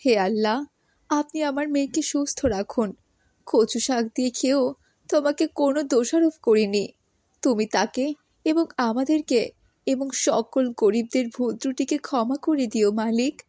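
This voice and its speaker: A high-pitched young-sounding mother, acted by a woman, full of tenderness. She speaks in a coaxing, affectionate cartoon manner. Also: unhurried, mellow, no echo, clean studio sound. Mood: prayerful. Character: tender, doting mother of a little girl. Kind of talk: moral cartoon voice acting.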